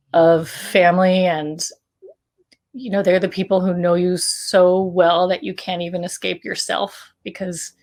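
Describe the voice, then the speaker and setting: A middle-aged woman talking casually as a co-author, presenting a fiction podcast. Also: lowish voice. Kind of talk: casual promotional talk about a story.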